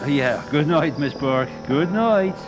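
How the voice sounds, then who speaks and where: An actor playing a chatty, deferential studio gate guard in an old-time radio drama. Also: male voice, a little high, lively intonation, slow, music in the background. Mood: friendly.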